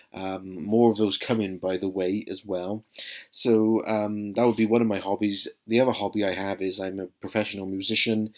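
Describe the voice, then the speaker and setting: A Welsh man in his thirties talks straight to camera in a relaxed vlog. His voice sits a little low, and his friendly, earnest delivery keeps pausing on ums and uhs. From South Wales. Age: 30s. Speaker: vlogger. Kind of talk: casual talking-to-camera vlog.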